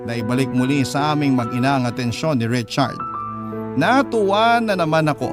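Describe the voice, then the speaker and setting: A man's voice: medium pitch, expressive, moderate pace. A man with a Filipino accent narrating a story dramatically in the first person, as a radio storyteller. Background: music bed.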